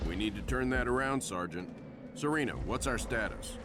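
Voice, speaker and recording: An actor playing a stern, weathered starship captain in a military sci-fi game, speaking in a measured, commanding way. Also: male voice, mid-range voice, expressive, noisy background, natural voice.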